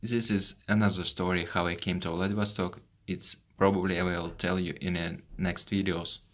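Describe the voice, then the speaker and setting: A Russian man in his twenties, a native Russian speaker telling his own story in English, haltingly, with many fillers and repeated words. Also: low voice, level tone. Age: 20s. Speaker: vlogger. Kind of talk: personal story to camera.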